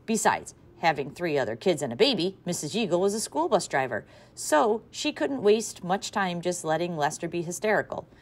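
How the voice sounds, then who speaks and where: A woman teacher reading a chapter book aloud to her class in a lively, expressive way. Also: fairly low pitch, moderate pace, few pauses.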